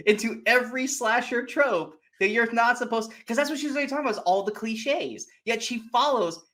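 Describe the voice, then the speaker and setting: A man co-hosting a film podcast in a casual chat, animated and sweary, acting out his reactions as he retells things. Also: very high-pitched, a little fast. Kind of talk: casual movie spoiler chat.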